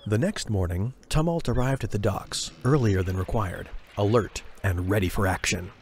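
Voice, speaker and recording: Low-pitched man narrating fantasy drama. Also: normal speed, a little hiss or hum. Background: music.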